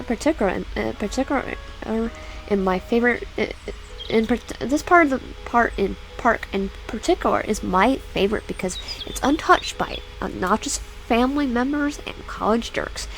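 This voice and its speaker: A woman's voice: fairly high pitch, expressive, vocal fry, soft background music, poor audio. A young adult woman reading a horror visual novel aloud, stumbling and restarting often, then chatting to her viewers. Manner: halting reading with many restarts, chatty asides. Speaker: gaming YouTuber.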